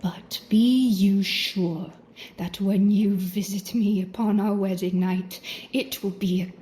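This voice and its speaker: An actress playing a proud, wounded young bride in a gothic audio drama, speaking in a formal, solemn manner. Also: female voice, mid-range voice, steady tone, takes it slowly, dark tone, lightly acted. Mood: cold, resigned.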